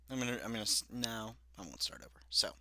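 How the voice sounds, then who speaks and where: A man's voice: fairly low pitch, sharp tone, no echo. A young man reading aloud from a story he wrote as a child, laughing at it and commenting as he goes. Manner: self-deprecating, laughing at his old writing. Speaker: reader of his own childhood story. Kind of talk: solo read-aloud with commentary.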